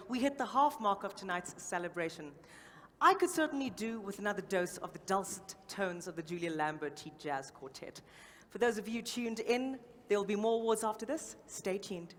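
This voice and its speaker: A woman's voice: mid-range voice, moderate pace. A woman co-hosting an awards broadcast, presenting in a polished way to the audience and viewers. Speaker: awards ceremony co-host. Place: large event hall, on stage. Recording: echoey hall, noticeable background noise.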